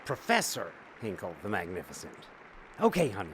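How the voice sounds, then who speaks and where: An actor playing a harried, evasive stage magician and father in an audio drama, hesitant, placating and sometimes sarcastic, with a gravelly voice. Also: low voice, huge pitch swings, brisk, a bit bright, a little hiss or hum, heavily acted. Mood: hurried, placating.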